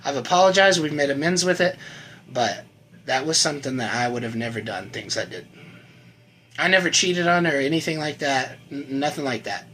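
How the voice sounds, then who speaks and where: A man in his thirties giving a personal testimony to camera, earnest and conversational, often trailing off quietly at the ends of lines. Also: mid-range voice, a little fast. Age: 30s. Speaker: channel host sharing his recovery story.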